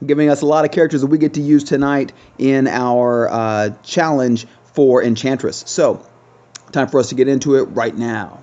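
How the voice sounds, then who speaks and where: A man with a relaxed, dark-toned voice gives casual commentary as he hosts a gaming video. He is chatty and thinks aloud, trailing into pauses as he decides. The sound is a little dull and lo-fi, like a simple home recording. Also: medium pitch.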